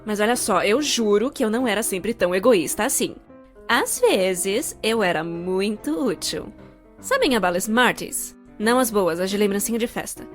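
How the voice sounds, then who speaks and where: A woman with a Brazilian accent giving a scripted comedic narration for an animated story, expressive and playfully sarcastic. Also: medium pitch, normal speed. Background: music.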